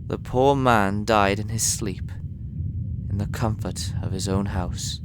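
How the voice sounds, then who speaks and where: A man plays a brooding, haunted villager confessing in audio drama narration. His slightly deep voice moves slowly and gravely, with clear noisy ambience behind it. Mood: somber dread. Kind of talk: scripted first-person narration in an audio drama.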